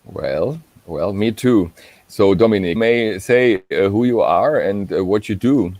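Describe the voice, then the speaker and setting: Man, very deep voice, dry sound, hesitant host, lots of ums. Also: a little slow, darkish tone, no room sound. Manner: hesitant, many ums and uhs, laughs easily. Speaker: panel host. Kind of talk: informal online panel talk. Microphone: video call.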